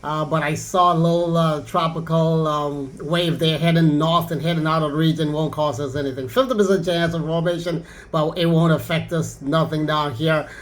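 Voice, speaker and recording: High voiced guy doing a casual ham radio chat, real easygoing. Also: steady tone, normal speed, hardly any pauses, low-quality recording. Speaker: amateur radio operator.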